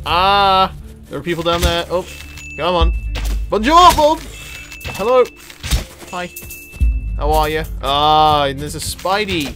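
A man hosts a gaming video in a fairly high voice, talking at an unhurried pace. His commentary is casual and exclamatory, often addressed straight at the characters in the game. Clear game music plays behind him. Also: expressive, a bit bright. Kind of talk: casual let's-play commentary.

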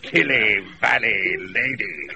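An actor playing a drunken, jovial older knight with a British accent in a Shakespeare radio play, taped off the air. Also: male voice, fairly low pitch, expressive, slow pace, gravelly, exaggerated voice. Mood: drunken bluster. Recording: noisy off-air radio recording.

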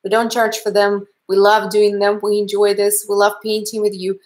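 A woman's voice: mid-range voice, flat delivery, normal speed. A woman in her thirties with a Russian accent, chatting in a friendly, upbeat way as she wraps up a livestream painting class from a home studio. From Canada. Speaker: painting instructor. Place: home art studio, indoors.

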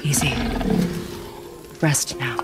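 A guarded witch of the woods in a cinematic game. She speaks in measured, firm phrases with a knowing calm, over clearly heard music. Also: rumbling low, full character voice. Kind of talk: cinematic video game acting.

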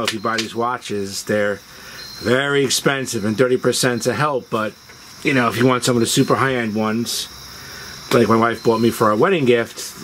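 A man's voice: mid-range voice, rough recording. A man hosting a video, telling personal stories in a casual way. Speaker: video host. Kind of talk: casual storytelling. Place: outdoors on a house porch at dusk. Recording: outdoor noise, chirping insects. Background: crickets and insects.

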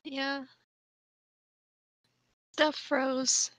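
A woman's voice: high voice, flat delivery, extremely slow, a bit bright. A woman chatting casually and playfully with friends on a group call.

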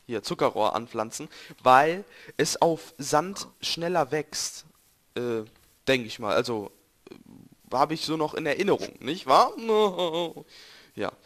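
A young man, a bit hoarse, rambling and joking to viewers while commentating his gameplay. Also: medium pitch, a bit bright, a little hiss or hum. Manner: joking, rambling, self-correcting, talks to viewers. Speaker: let's play gamer.

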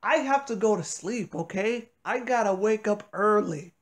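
Insistent, complaining mid-pitched voice on a call. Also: animated, normal speed. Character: tired pigeon trying to get to sleep. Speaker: prank call participant playing a pigeon. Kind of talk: improvised phone skit.

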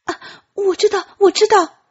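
A woman voicing an anxious, kind-hearted young woman disguised as a eunuch, soft and apologetic in an audiobook drama. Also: extremely high pitch, steady tone, measured pace, lo-fi sound, pitched above the performer's own voice. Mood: flustered, insistent. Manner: flustered, hesitant, pleading.

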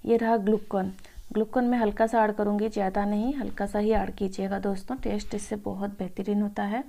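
Female home cook for informal recipe voice-over; gravelly fry, fairly even pitch, mellow tone. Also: a little fast. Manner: addresses viewers as friends. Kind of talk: informal cooking tutorial voice-over.

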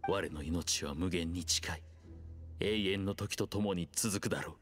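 A solemn, ancient low dragon. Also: male voice, soft background music. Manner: solemn, archaic and measured. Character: ancient male earth dragon speaking through a man. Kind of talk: Japanese game dub.

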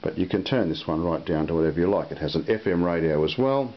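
A man giving a casual, unscripted product demonstration in a halting, unhurried way. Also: sits low, animated. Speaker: product reviewer. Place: indoor room at a table. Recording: muffled lo-fi audio with hiss.

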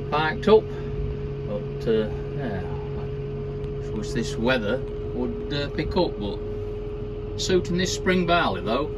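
Male farmer, 50s, mid-pitched, plain-spoken casual diary delivery, noisy cab and field recording. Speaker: farmer, vlogger. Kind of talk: casual video diary commentary. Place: tractor cab and open fields. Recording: noisy tractor cab and windy outdoors.

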